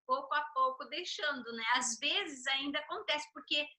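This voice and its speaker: A middle-aged Brazilian woman hosting a casual interview, telling lively stories and getting louder as she recounts them. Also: high voice, normal speed. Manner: lively storyteller, rising loud when recounting anecdotes. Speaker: host.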